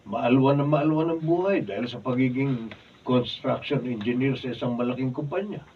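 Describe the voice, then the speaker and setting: A man's voice: medium pitch, moderate pace, lightly acted. A man acting a worn-down, grumbling road labourer husband of about fifty in a Filipino radio drama, with a plaintive manner. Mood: bitter, resigned. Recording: distant, dull, faintly noisy studio recording.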